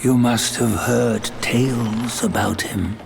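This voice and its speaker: A man narrating a game cutscene in a measured storytelling way. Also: medium pitch, vocal fry, heavy background noise. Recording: game cutscene with loud score.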